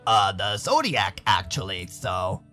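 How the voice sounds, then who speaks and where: A man's voice: deep voice, wildly swooping pitch, slow, sharp tone, soft background music, voice pushed down, heavily acted. An American man voicing a laid-back furry animal character who hesitantly explains things to his friends in a visual novel. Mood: hesitant, patient.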